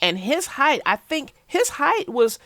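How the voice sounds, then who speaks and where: Woman hosting kids book chat, folksy, super animated, dry clean room sound. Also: high voice, a little slow, no room sound. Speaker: host of a children's read-aloud show. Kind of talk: casual chat about a picture book.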